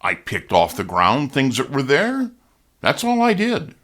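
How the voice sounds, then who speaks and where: Radio drama role: simple, hungry accused man, flustered and protesting, extremely animated pitch. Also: a little slow, exaggerated voice. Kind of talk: audio drama acting.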